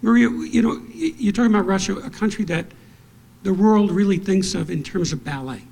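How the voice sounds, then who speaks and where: A man with a high, gravelly voice that has a husky edge leads a panel discussion. He asks inquisitive questions, often restarting his sentences as he feels his way toward the point. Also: expressive, brisk, darkish tone. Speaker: moderator.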